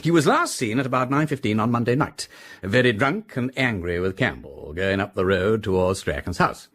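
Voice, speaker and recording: An actor playing an articulate British gentleman detective in a radio drama, fluently and precisely reporting his findings. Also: lowish voice, sing-song, moderate pace. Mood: matter-of-fact.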